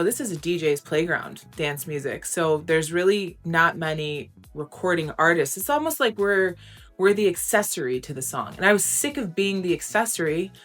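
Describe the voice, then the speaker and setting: A young woman with a low, grounded voice talks openly and casually, filling pauses with "um" and "like". Faint music plays quietly under her words. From United States. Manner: candid, chatty, frequent "um" and "like". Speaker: interview guest, recording artist. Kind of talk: casual interview answers.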